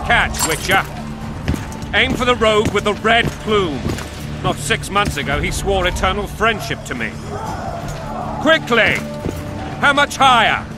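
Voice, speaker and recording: A man's voice: high-pitched, sing-song, a little slow, pauses often. An actor playing a proud, irascible middle-aged king in a fantasy game, imperious and sardonic. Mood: shouting, urgent. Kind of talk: dramatic fantasy game acting. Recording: loud dramatic music behind the voice.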